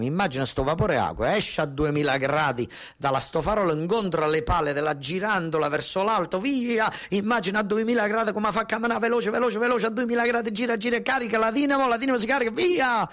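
A man's voice: high voice, a bit bright. A man with a southern Italian accent performing a theatrical, colloquial monologue, emphatic and insistently repeating words as he explains. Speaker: solo stage actor and storyteller.

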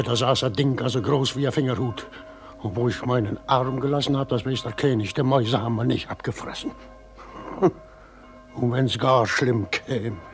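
Schauspieler als frommer, strenger alter schlesischer Weber. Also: male voice, mid-range voice, lightly acted. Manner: earnest, admonishing. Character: pious, stern old weaver and family father. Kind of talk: German radio play acting.

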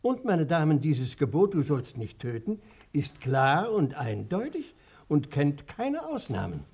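Earnest moralizing pastor for radio drama: unhurried, lively rise and fall, self-justifying. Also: male voice, on the high side, husky, exaggerated voice. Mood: preachy. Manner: sermonizing, deliberate. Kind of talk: German radio play acting.

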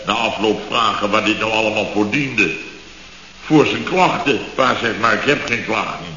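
An actor playing a grumbling, exasperated middle-aged contractor who rants sarcastically in clipped half-sentences in a radio comedy. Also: male voice, normal speed, sharp tone, roomy sound, long reverb tail, slight background noise. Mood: sardonic. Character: grumbling, exasperated male building contractor, long-winded storyteller. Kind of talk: 1970s radio comedy acting. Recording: boomy, muffled old radio recording.

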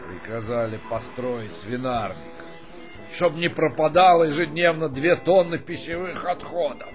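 An actor playing a weary old army colonel in a radio drama, grumbling, sighing and brusque. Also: male voice, fairly high pitch, measured pace, music in the background, close to an everyday voice. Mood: gruff, commanding. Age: older.

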